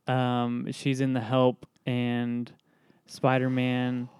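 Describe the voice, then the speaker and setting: A man's voice: medium pitch, completely flat delivery, drawn-out words, a little mellow, clean tone, no room sound. A man co-hosting a podcast in casual chat, speaking hesitantly. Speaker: podcast co-host.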